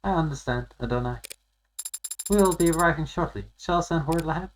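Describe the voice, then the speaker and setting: A man's voice: on the high side, fairly quick, a little hiss or hum, voice pushed up, close to an everyday voice, rough recording. A man voicing a polite, curious AI assistant in a game, with formal, inquisitive speech. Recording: music behind the voice.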